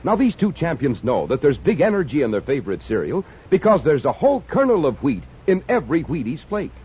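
A man's voice: fairly high pitch, very animated, brisk, darkish tone, breathy edge. A man reading a 1950s radio commercial as announcer, jaunty and folksy. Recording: dull, hissy 1950s radio recording.

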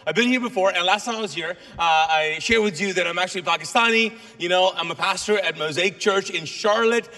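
A middle-aged man preaching to a congregation, his first language Urdu. His voice sits high and bright. It rings with a long reverb from a large church auditorium. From Kuwait. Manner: lively, joking with the congregation. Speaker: guest pastor. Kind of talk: sermon.

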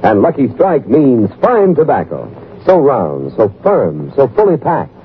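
A man with a mid-range, animated voice emphatically reads scripted radio advertising copy in a 1940s manner. The recording is narrow and tinny with faint noise. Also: mid-range voice, unhurried. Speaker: commercial announcer. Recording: tinny, faintly noisy old radio broadcast.

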